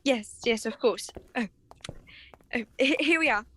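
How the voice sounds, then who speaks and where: A woman's voice: fairly high pitch, a little slow, lightly acted. A woman playing an anxious, proper married client, hesitant and flustered, in a 1940s-style detective radio play. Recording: faint noise, home video-call recording.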